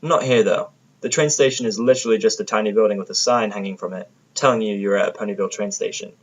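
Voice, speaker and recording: A man's voice: lowish voice, fast. A man narrating a first-person audio drama from a script, with a sardonic manner.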